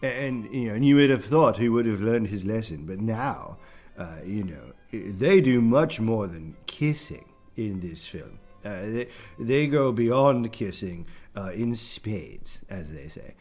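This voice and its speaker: Man putting on a pompous, rambling British film critic, lowish voice. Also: lively intonation, a little mellow, faint music behind. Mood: rambling, indignant. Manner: halting, rambling, self-important. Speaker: podcast film reviewer. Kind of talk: rambling comic monologue.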